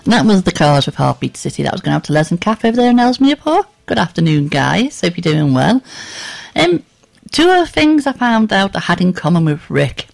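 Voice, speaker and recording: Middle-aged female radio host, scratchy voice, low-pitched, casual rambling patter. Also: very animated, a little fast, creaky, a little mellow, faint music behind. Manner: chatty, rambling with ums.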